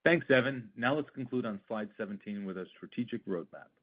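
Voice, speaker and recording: A man's voice: lowish voice, animated, fairly quick. A man delivering scripted earnings call remarks as a chief executive, in a formal, emphatic corporate style. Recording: thin phone-like conference call line.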